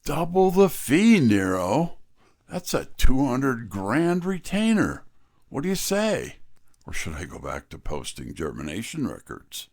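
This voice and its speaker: An actor playing a wry, wisecracking detective's legman, delivering scripted mystery narration and dialogue in a folksy, unhurried way. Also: medium pitch, huge pitch swings, a little mellow, dry recording, clean studio sound, full character voice. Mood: teasing, eager.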